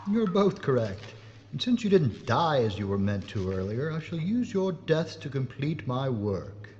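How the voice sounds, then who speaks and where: An actor playing a gloating, traitorous police inspector and killer in an audio drama, theatrical, smug and menacing. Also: male voice, mid-range voice, sing-song, unhurried, lo-fi sound, heavy background noise. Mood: smug menace. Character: gloating traitorous chief inspector, murderous Nazi saboteur.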